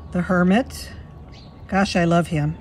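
A woman with a slightly hoarse voice from a cold, chatting fondly through a walkthrough of a card deck at her table. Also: on the low side, steady tone, takes it slowly, slight background noise. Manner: fond, chatty, admiring. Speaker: tarot deck reviewer. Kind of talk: casual walkthrough. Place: indoors at a table.

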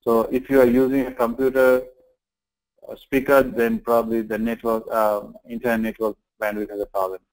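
A man's voice: medium pitch, flat delivery, moderate pace, a little mellow, poor audio. A man teaching an online class informally, explaining things step by step with repetition and check-in questions. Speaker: online course instructor.

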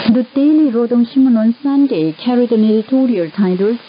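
Woman newsreader, higher voice, formal measured delivery, scripted bulletin, muffled shortwave radio sound. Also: a little hiss or hum. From North Korea.

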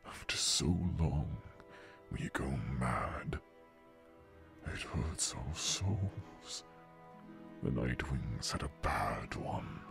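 An old, resigned dragon of an audio drama. The voice is extremely deep and drags slowly, breathy and heavy with weariness. Music plays clearly behind it. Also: male voice, exaggerated voice. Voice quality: gravelly, breathy. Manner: slow, heavy, halting. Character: weary old male dragon cursed with magic, resigned.

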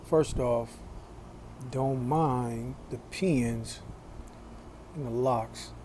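A man in his forties talking to his phone camera in a small room, slow and deliberate, with a preachy tone. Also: medium pitch, noisy background. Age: 40s. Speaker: vlogger. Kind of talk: casual talk to camera.

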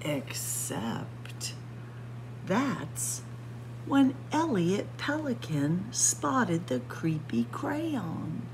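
A woman reading a picture book aloud in a dramatic, suspenseful story-time style, sometimes hushed for emphasis. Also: mid-range voice, very slow, a little hiss or hum, rough recording. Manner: suspenseful, expressive, drops to hushed whispers for emphasis. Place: indoor library room with bookshelves and windows.

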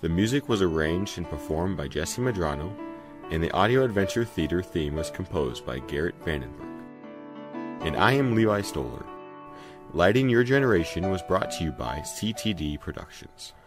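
A man's voice: low-pitched, very animated, normal speed, music in the background. A man reading scripted closing credits for an audio drama as its announcer.

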